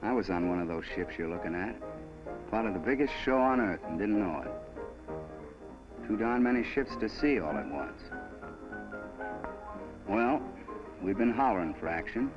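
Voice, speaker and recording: A man's voice: low voice, animated, unhurried, long pauses. A man playing a plain-spoken young American private, folksy and laconic, telling his story for a 1940s war film. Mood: wry, matter-of-fact. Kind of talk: 1940s wartime film narration in character. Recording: dull vintage film soundtrack, loud music.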